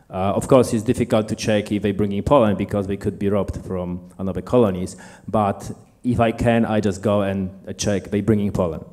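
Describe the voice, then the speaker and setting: A man with a Polish accent, whose first language is Polish, speaking up from the audience at a convention in halting English with many fillers. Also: lowish voice, warm tone. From Poland. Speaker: audience member, beekeeper. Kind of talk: Q&A comment at a convention. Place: large lecture hall.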